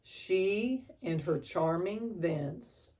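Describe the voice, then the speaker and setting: A woman reading a children's storybook aloud as an expressive storyteller. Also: fairly low pitch, drawn-out words.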